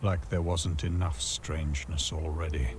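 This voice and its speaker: Deep, weary brooding adventurer. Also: male voice, moderate pace, a little hiss or hum. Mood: dry unease. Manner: deadpan, understated. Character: weary, brooding male adventurer narrating his night. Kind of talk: scripted game narration. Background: game music.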